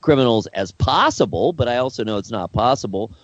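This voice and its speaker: A man co-hosting a talk podcast, speaking earnestly and haltingly, often repeating words as he works out his point. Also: mid-range voice, expressive, dry recording, lo-fi sound. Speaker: podcast co-host. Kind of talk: casual discussion.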